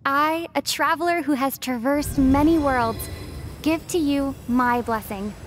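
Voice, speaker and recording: A woman's voice: high voice, a little slow, slight background noise. A woman voicing an earnest, kind young traveler in a video game, formal and sincere. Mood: solemn.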